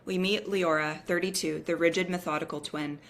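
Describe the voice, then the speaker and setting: A woman's voice: fairly low pitch, fairly even intonation, moderate pace. A woman narrating screenplay stage directions at a scripted table read.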